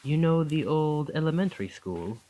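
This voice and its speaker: A man's voice: a little high, animated, moderate pace, mellow, highs cut off, natural voice. A man playing a charming young college student who is secretly a possessive, otherworldly captor, in an intimate, coaxing ASMR roleplay. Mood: friendly, coaxing.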